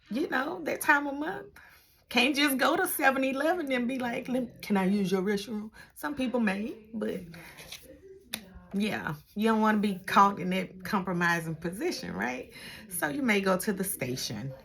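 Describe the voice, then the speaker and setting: A woman's voice: medium pitch, a bit bright. A middle-aged American woman reminiscing casually, acting out little exchanges and repeating phrases for emphasis. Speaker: vlogger, retired police dispatcher. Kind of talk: casual reminiscing chat to camera.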